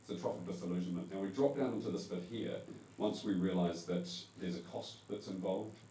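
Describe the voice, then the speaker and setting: Deep-voiced New Zealand man in an echoey hall. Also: a little fast, dark tone. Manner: conversational, self-correcting with fillers. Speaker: conference panel speaker. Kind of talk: presentation talk to a live audience. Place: event hall. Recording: echoey hall, distant mic, faint hum.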